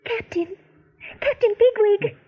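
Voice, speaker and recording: A woman's voice: very high-pitched, slow pace. A woman playing an anxious, pleading young rabbit doe in a radio play. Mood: alarmed. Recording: slightly dull radio recording.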